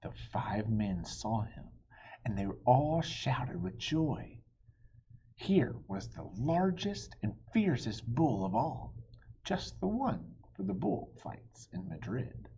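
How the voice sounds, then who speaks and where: A man reading a children's story aloud in an expressive, dramatic way, addressing young listeners, with a breathy voice that often drops toward a hush. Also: mid-range voice, slow pace, a little hiss or hum. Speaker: storybook reader. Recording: dull, muffled lo-fi audio.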